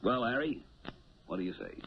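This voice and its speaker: An actor playing a genial, shrewd police lieutenant in a 1970s radio drama, on a tinny, hissy recording. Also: male voice, mid-range voice, lively intonation, fairly quick, creaky. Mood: genial, persuasive.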